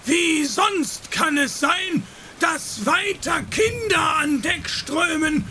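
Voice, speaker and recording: A shouting old priest. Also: male voice, very high voice, animated, slow, slight background noise, exaggerated voice. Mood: indignant, shouting. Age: older. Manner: shouting, pleading, mocking. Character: desperate old male priest, pleading then drowning. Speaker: priest character. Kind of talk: radio drama acting.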